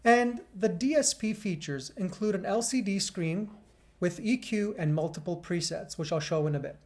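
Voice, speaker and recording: Upbeat man with a bassy voice. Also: moderate pace, darkish tone. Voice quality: deep bassy voice. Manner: upbeat, chatty, explains gear step by step. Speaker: gear reviewer and channel host. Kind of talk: casual product review.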